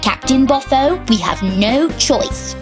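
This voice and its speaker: A woman's voice: high voice, expressive, takes it slowly, music in the background. A woman voicing a stern, exasperated elephant police inspector in a children's cartoon, with a commanding manner.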